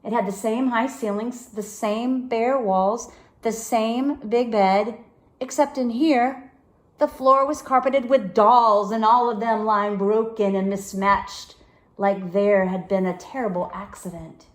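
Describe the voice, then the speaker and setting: Slow, dramatic woman reading in an echoey room. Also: a little high, steady tone. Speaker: reader of a children's book chapter. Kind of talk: expressive storybook read-aloud.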